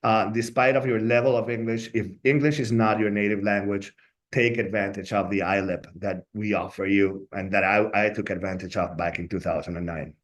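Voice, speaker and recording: A man's voice: fairly low pitch, steady tone, moderate pace. A man from Colombia whose first language is Spanish, speaking with a Colombian Spanish accent in a webinar. He sounds earnest and often ends his points with "right?". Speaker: law school staff member. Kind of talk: informational webinar talk.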